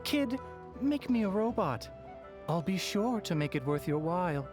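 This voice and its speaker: Shrewd female merchant in a game, slow drawl, coaxing, sly, varied pitch. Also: very animated. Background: game music.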